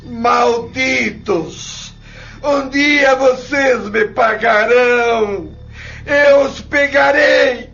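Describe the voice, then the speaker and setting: Man acting an anguished, very high-voiced enslaved father. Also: drawn-out words, creaky, heavily acted. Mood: vengeful rage. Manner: crying out, shouting. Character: anguished, vengeful enslaved father swearing revenge. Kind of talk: audio drama acting. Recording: dull, lo-fi recording with music.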